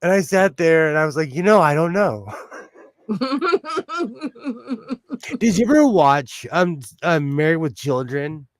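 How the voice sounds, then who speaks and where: Middle-aged male stream host, fairly high pitch, dry and close; easygoing banter full of laughter. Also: big pitch swings, no echo. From Mexican-American family. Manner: chatty, laughs often, quick asides. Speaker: livestream host. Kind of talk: casual chat on a live stream.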